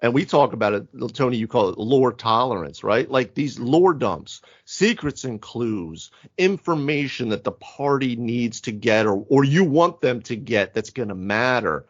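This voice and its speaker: A man's voice: mid-range voice, animated, measured pace, hardly any pauses. A man co-hosting a casual tabletop gaming podcast, explaining at length and with emphasis. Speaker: podcast co-host. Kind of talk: casual panel discussion on tabletop role-playing. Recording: dull, band-limited audio.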